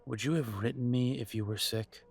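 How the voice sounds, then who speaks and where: A man's voice: medium pitch, fairly even intonation, normal speed, gravelly, darkish tone, breathy edge. A man playing a wounded young werewolf son in an audio drama, confrontational and sardonic with his parents. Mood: bitter, sarcastic. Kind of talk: audio roleplay drama acting.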